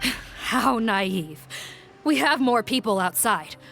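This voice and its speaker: A woman's voice: a little high, animated, slow pace, vocal fry, fairly bright tone, faint music behind, heavily acted. A woman voicing a confident, resolute law officer in a video game, with a firm and assertive manner. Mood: confident, stern. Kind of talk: scripted video game voice acting.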